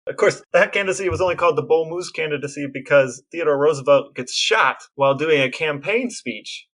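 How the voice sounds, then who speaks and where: A man co-hosting a podcast, telling historical anecdotes in a casual, animated storytelling manner. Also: on the high side, brisk. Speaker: podcast co-host.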